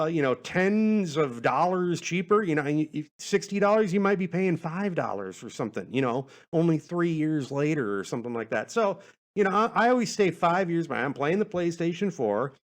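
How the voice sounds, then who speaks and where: A man, a podcast host, chatting in a casual, self-deprecating way as he rambles through answers to questions. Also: a little high. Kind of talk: casual rambling Q&A monologue, reading out listener questions.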